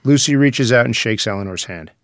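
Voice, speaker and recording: A man narrates a screenplay's stage directions. His voice is medium-pitched and recorded very dry, with the scripted delivery of someone reading scene descriptions. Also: lively intonation, fairly quick, no echo.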